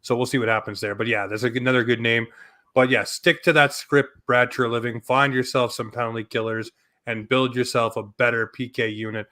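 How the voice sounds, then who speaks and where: A man's voice: medium pitch, level tone, a little fast, bright, forceful tone. A man co-hosting a sports podcast, giving casual commentary in an emphatic, opinionated way. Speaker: hockey podcast co-host.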